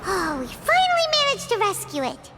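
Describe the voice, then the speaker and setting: A woman voicing a small, excitable, loyal fairy companion girl in a video game, exclaiming and speaking of herself in the third person. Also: extremely high pitch, normal speed, heavy background noise, exaggerated voice. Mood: alarmed. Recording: loud music behind the voice.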